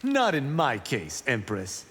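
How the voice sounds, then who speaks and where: An actor voicing a proud, teasing young Shaolin warrior who talks in confident, boastful quips for a fighting video game. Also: male voice, mid-range voice, huge pitch swings, takes it slowly, fairly bright tone, breathy edge, exaggerated voice. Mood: cocky banter. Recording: long hall reverb.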